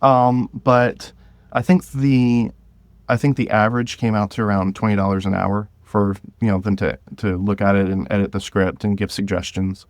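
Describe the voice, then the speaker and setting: A man's voice: fairly low pitch, steady tone, moderate pace, dry recording. A man talking casually as an interview guest, thinking aloud and correcting himself as he goes. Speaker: guest, independent audio drama creator.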